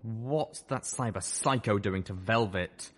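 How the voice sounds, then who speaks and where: A man's voice: on the low side, slow pace. A man voicing an enraged, hostile pegasus colonel in an audiobook, roaring and demanding. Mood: furious.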